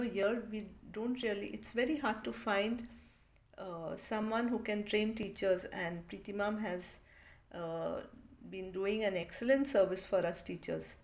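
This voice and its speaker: A woman's voice: mid-range voice, darkish tone. A woman French teacher giving an appreciative testimonial with frequent fillers. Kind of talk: recorded testimonial.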